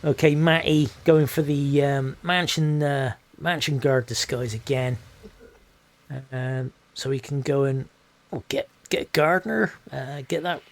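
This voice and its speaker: A hoarse man, commentating a competitive video game match with excited, swearing energy. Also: medium pitch, unhurried. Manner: energetic, swears freely, laughs often. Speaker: esports shoutcaster.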